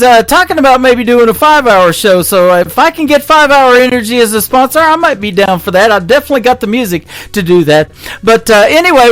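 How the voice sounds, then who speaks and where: A man's voice: very high-pitched, a bit bright, dry recording. A man hosting a radio show, chatting casually between songs with frequent fillers. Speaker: radio host.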